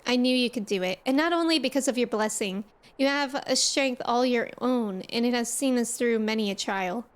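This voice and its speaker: A woman reading aloud the lines of a steady young male companion in her own voice. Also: a little high, normal speed, smooth, close to an everyday voice.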